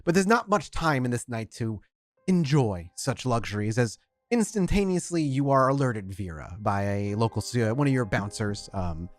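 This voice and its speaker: A man's voice: mid-range voice, sing-song, normal speed, no echo. A man running a tabletop roleplay as game master, describing scenes in a loose, conversational storytelling way.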